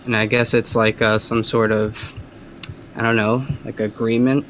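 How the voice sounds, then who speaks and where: A male caller with a soft, feminine-sounding voice, talking hesitantly and rambling with lots of fillers. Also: on the low side, flat delivery, a little slow, a little hiss or hum. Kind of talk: casual phone call.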